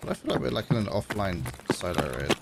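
A man's voice: low voice, animated, quick pace, vocal fry. A young Australian man with an Australian accent, streaming a game and chatting laid-back with his teammate and chat on a headset. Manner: laid-back, talks to chat, says dude and mate. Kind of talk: casual gaming livestream chat. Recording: noisy stream audio.

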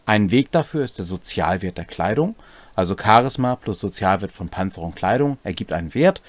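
A man's voice: fairly low pitch, animated, a little fast, no room sound. A man in his forties explaining game rules to camera in an instructive way, addressing viewers directly. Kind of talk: rules explainer to camera, partly read from script. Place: small cluttered home room, indoors.

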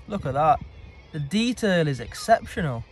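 A young man vlogs from a rocky shore with a slightly raised pitch, pointing out small details of a fossil in an eager, explanatory way. Faint outdoor ambience and distant birds sit behind his close, handheld-camera voice. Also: very animated, moderate pace, a little hiss or hum. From England. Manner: enthusiastic, explanatory, showing finds to camera. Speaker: fossil hunter, channel host. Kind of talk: casual outdoor vlog narration.

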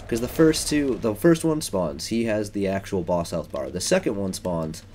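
A man's voice: fairly low pitch, expressive, moderate pace, darkish tone, a little hiss or hum. A young man giving casual, rambling commentary while playing a video game, with lots of ums and likes. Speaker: let's play gamer.

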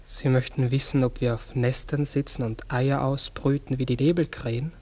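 An actor playing a pensive, courteous, human-sized talking raven in a 1960s German radio play, speaking hesitantly and formally. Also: male voice, mid-range voice, fairly even intonation, normal speed, a little mellow, close to an everyday voice. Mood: unsure, courteous.

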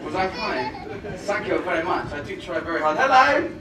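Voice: medium pitch, big pitch swings, a little fast. A playful, jokey pantomime performer hosting audience banter from a theatre stage. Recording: roomy theatre, distant mic, crowd and music. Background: audience noise and music.

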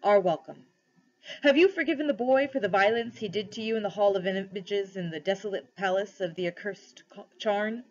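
A woman's voice: medium pitch, normal speed, lightly acted. Woman reading as a solemn, commanding lion king, slightly muffled. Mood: solemn blessing. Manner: grave, formal questioning.

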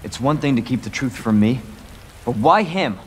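A man's voice: mid-range voice, animated, moderate pace, natural voice. Guy acting as a young earnest cop in a game, keeps pushing for answers, rain noise behind him. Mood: earnest, firm. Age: young adult. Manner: earnest, questioning. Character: earnest, persistent young male police officer. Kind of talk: dramatic video game acting.

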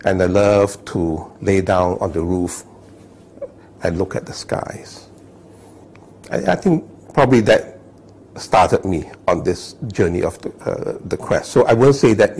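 A man in his fifties from Malaysia, sitting indoors, telling his life story thoughtfully with many pauses and "all right?" checks. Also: low-pitched, lively intonation, darkish tone, a little hiss or hum. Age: 50s. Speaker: interviewee. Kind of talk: personal testimony, unscripted. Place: indoor living room, seated on sofa.

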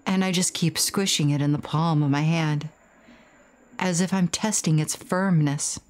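A woman's voice: sits low, steady tone, a little slow, a little mellow. A woman playing through a horror game, reading text aloud and commenting on it.

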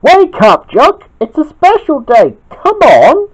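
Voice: medium pitch, wildly swooping pitch, slow pace, a bit bright, pitched above the performer's own voice, full character voice. Someone doing a cross, bossy little boy, dull recording. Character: cross, sad, bossy boy party host Bobby. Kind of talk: children's story character voice.

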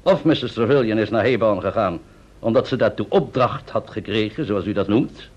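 A man's voice: fairly low pitch, moderate pace, a little mellow, close to an everyday voice. A man playing a stern, formal senior police interrogator in a vintage radio play. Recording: dull vintage radio drama recording.

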